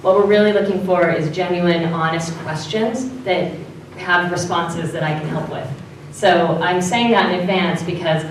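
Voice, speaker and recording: A woman facilitator speaking loudly and in an organized way as she walks a public meeting through its plan. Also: on the low side, a little hiss or hum. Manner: loud, clear and organized. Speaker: facilitator presenting an assessment. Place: large meeting room. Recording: echoey hall from far off.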